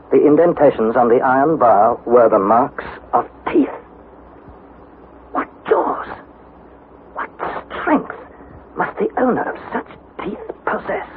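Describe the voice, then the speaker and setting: Fearful young explorer, tinny vintage radio. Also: male voice, a little high. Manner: dramatic, suspenseful, articulate delivery. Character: fearful young male explorer narrating his expedition journal. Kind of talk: 1960s radio drama acting, first-person journal narration. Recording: tinny, hissy vintage 1960s radio recording.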